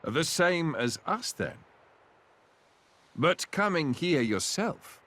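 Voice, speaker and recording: Earnest, lively young prince. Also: male voice, a little high, takes it slowly, exaggerated voice. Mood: composed. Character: affable young prince, earnest and reluctant to rule. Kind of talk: video game cutscene acting. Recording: music behind the voice.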